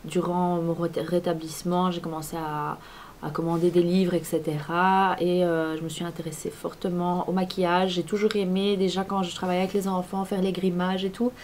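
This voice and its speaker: A woman's voice: fairly low pitch, flat delivery, moderate pace, a little mellow, slight background noise. A woman, chatting to camera as a beauty vlogger, full of fillers and restarts. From Belgium. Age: 20s. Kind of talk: casual talk to camera. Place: apartment at home.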